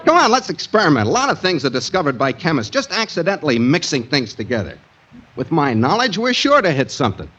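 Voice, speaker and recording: An actor playing a cocky, pompous pal who poses as an expert chemist in a 1940s radio comedy. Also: male voice, fairly high pitch, sing-song, fast, a bit bright, some chatter behind. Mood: smugly confident. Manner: pompous, lofty mock-learned talk. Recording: dull, faintly noisy vintage radio recording.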